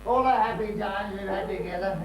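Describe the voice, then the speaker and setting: A man's voice: sits high, fairly quick, muffled recording. A man with a British accent acting a rambling, self-pitying drunken man in an amateur stage comedy. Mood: nostalgic, tipsy. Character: maudlin, rambling drunken man abandoned by his partner. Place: small theatre stage. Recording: echoey, distant stage recording with hum.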